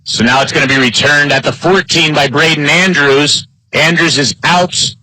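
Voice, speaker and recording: An American man doing live football play-by-play. His voice is bright and forceful, set a little high, and recorded close and dry. He speaks as a game announcer keeping listeners on top of the action. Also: steady tone, moderate pace, no echo, poor audio. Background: live high school football game broadcast.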